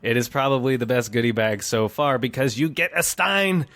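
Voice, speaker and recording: A man's voice: medium pitch, expressive, moderate pace, fairly bright tone. A man hosting a gaming podcast in casual chat, enthusiastic and jokey, often ready to chuckle. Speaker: podcast host.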